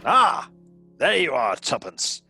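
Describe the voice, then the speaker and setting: A man's voice: a little high, huge pitch swings, slow, gravelly, sharp tone, soft background music, exaggerated voice. A man playing a brash, slangy playboy in a comedy radio play. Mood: breezy, teasing.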